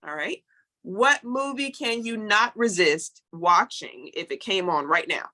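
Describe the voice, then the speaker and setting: A woman's voice: mid-range voice, lively intonation, measured pace, bright, forceful tone. A woman hosting a casual interview, putting short questions to a guest and acknowledging the answers. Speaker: interview host.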